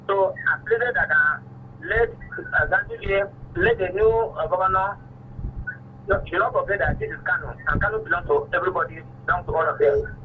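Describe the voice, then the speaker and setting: Nigerian guy calling in to radio, high voice, halting, tinny phone line. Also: far from the mic. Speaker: phone-in caller. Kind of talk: spontaneous opinion on a radio phone-in. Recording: thin phone line with faint noise.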